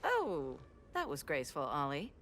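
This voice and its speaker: A low-voiced tough heroine. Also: female voice, huge pitch swings, normal speed, very noisy. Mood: wry. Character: tough female superhero fighter. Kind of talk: video game cutscene acting. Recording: loud music behind.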